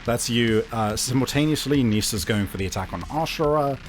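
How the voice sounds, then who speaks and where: A man's voice: mid-range voice, fairly quick. A man improvising narration as a game master, descriptive and often searching for his words. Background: faint music.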